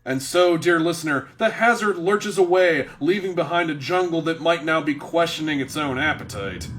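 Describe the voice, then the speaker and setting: A man's voice: a little high, normal speed, fairly bright tone. A man giving dramatic, tongue-in-cheek scripted narration for a comedy.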